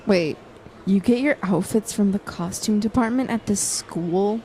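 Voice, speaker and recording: A woman playing a supportive student journalist, gentle and lightly sarcastic, in naturalistic audio drama. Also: mid-range voice, unhurried, a little hiss or hum, close to an everyday voice, low-quality recording. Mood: friendly, curious. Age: young adult.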